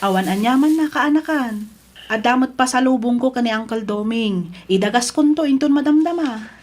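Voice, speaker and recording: A woman's voice: on the high side, expressive, moderate pace, a little mellow. A woman playing a generous, reassuring middle-aged aunt from the city, speaking encouragingly in an Ilocano radio drama. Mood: friendly.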